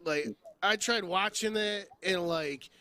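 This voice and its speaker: A man's voice: high-pitched, slow, heavy background noise. A man co-hosting a podcast in casual, opinionated banter, blunt and given to exaggerated comparisons and swearing. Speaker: podcast co-host.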